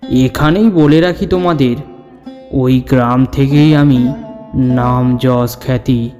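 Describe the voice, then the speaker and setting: Slow-paced male storyteller in long reverb. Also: medium pitch, fairly even intonation, a little mellow. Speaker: storyteller narrating a ghost story. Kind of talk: dramatic scripted horror story narration. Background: background music.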